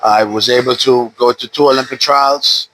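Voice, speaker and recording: A man in his fifties from the Bahamas, a retired boxer calmly telling his story in an interview at a boxing gym. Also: medium pitch, moderate pace, low-quality recording. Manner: relaxed, steady storytelling with frequent "uh". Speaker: retired pro boxer, guest. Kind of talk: casual interview.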